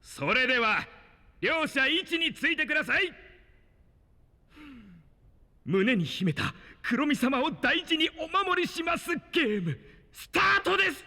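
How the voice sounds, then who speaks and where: An actor playing a theatrical, cheery exam master, announcing formally and showily in a fantasy audio drama. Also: male voice, extremely high pitch, sing-song, normal speed, gravelly, sharp tone, exaggerated voice. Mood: excited. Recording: long hall reverb.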